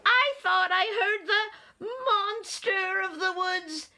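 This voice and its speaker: A woman voicing a tiny, nervous but cheeky storybook mouse in a squeaky voice. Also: slow, sharp tone, heavily acted. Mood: pleading, tearful.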